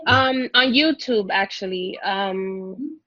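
A woman's voice: medium pitch, slow pace, highs cut off, background noise. A woman from the Dominican Republic talking in a hesitant, searching way, full of fillers and restarts, as a guest in a conversation. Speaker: guest exploring Islam.

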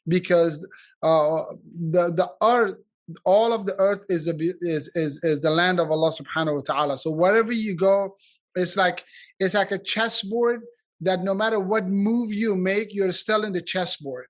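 Earnest halting man, slightly high voice. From Afghanistan. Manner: halting, many restarts and fillers, earnest. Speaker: community speaker. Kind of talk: unscripted speech at a community event.

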